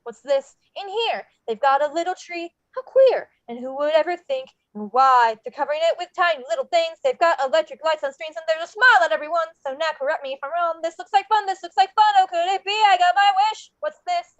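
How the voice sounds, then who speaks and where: A woman acts out an excitable skeleton man full of wonder, pitched extremely high. The delivery is theatrical and rhythmic, like verse from a musical table read. The sound is dry and somewhat dull. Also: a little fast, hardly any pauses, dry recording. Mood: delighted, curious. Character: Jack, excited wonderstruck male skeleton from a Halloween land.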